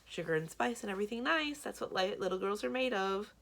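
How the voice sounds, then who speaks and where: A woman's voice: mid-range voice, lively intonation, a little fast, vocal fry, a bit bright, pitched above the performer's own voice. Woman playing Blue, a sly, theatrical swindler man. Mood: taunting. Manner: theatrical, smug salesman patter. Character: Blue, sly bald theatrical male potion-selling schemer. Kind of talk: children's book read-aloud.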